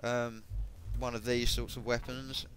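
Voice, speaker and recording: A man talks through a game's gear, his voice a little croaky and his speech full of ums and restarts. He sits at a home computer mic, and a faint hum of room noise sits under him. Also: medium pitch, level tone, measured pace, fairly bright tone. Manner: hesitant, many ums and self-corrections. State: voice going croaky. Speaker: gaming video creator. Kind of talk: casual gameplay commentary.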